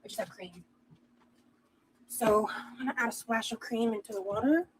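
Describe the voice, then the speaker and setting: A woman's voice: fairly high pitch, normal speed, a little hiss or hum, rough recording. A woman chatting casually while she cooks breakfast on a livestream, full of "like" and little asides. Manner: chatty, lots of "like", talks while busy cooking. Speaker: cooking livestream host. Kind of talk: casual chat while cooking. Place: home kitchen.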